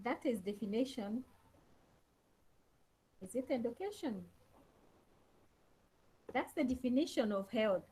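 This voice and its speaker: A woman's voice: a little high, lively intonation, unhurried, pauses often, warm tone, husky, low-quality recording. A woman lecturer teaching an online class over a laptop, repeating key phrases and questions to her students. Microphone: laptop on a video call.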